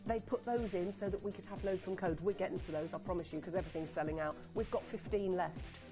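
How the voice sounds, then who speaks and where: A woman presenting live on a TV shopping channel, chatty and excitable, rattling off buyer names and sounding a little sniffly from a cold. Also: on the low side, fast, over music. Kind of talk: live on-air sales pitch. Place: TV studio.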